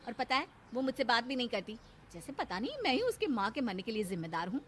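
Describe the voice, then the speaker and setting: A woman's voice: a little high, very animated, fast talker, a bit bright, slight background noise. A woman voicing a bitter, resentful middle-aged stepmother who taunts and complains, in a cartoon family drama. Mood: defensive.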